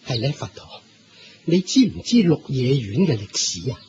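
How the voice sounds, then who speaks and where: A man's voice: mid-range voice, very animated, moderate pace, lightly acted. A man with a Hong Kong Cantonese accent playing an eager, respectful devotee in a radio drama. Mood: respectful, curious. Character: eager, respectful male devotee offering food. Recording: muffled radio recording, clear background noise.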